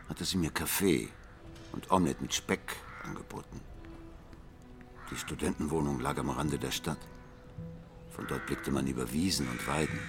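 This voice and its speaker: Deep-voiced, brooding blind critic. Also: male voice. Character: blind male music critic, sardonic, intense, confessional. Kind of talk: radio drama monologue and dialogue.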